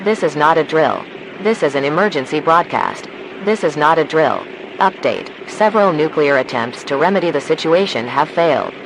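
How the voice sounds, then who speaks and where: Casting: man acting as a calm, formal emergency alert announcer, slightly high-pitched, measured delivery, clearly noisy recording. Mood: grave, instructive. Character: calm, formal male emergency broadcast announcer in a disaster scenario.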